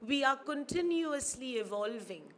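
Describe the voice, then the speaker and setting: Slow, high-voiced woman giving a speech. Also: lively intonation. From India. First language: Urdu. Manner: measured, formal, repeats phrases for emphasis. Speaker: guest speaker, academic. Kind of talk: formal ceremony speech. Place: university auditorium.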